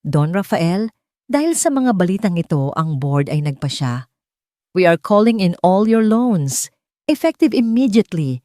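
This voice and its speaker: A woman's voice: lowish voice, big pitch swings, measured pace, dark tone, dry recording, clean studio sound. A woman storyteller voicing a stern bank chief who speaks formally and firmly in a narrated drama.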